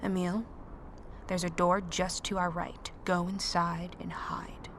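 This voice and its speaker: A woman's voice: fairly low pitch, level tone, slow pace, noisy background. An actress playing a tough, resolute woman survivor in an audio drama, terse, firm and practical. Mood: tense, guarded. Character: tough, resolute combat-trained woman survivor leading companions. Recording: faint background ambience.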